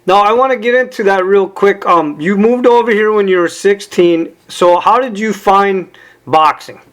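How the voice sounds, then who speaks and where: A man's voice: high voice, moderate pace, fairly bright tone, poor audio. A man hosting an interview show, asking direct, conversational questions and checking facts with his guest.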